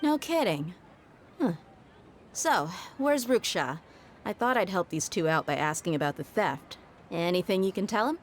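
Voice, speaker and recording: A woman's voice: lowish voice, animated, moderate pace, a little hiss or hum, natural voice. A woman playing a tough, pragmatic young bodyguard in a video game, blunt and casual in a streetwise way. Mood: businesslike.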